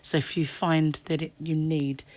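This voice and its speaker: A middle-aged woman with a croaky, hoarse voice, chatting her way through a crochet tutorial with lots of ums and restarts. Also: low voice, flat delivery, measured pace, a little mellow. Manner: chatty, rambling explanations with many ums. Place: indoors at a table.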